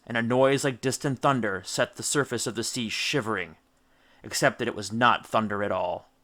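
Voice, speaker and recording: A man reading an audiobook as dramatic storybook narration, theatrical and deliberate. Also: mid-range voice, bright, forceful tone. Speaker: audiobook reader.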